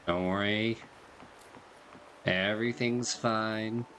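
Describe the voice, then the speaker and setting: A man's voice: on the low side, steady tone, slow, a bit bright, slight background noise. A man giving casual, slangy, joking commentary as he plays through a video game. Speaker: gaming video creator. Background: game music.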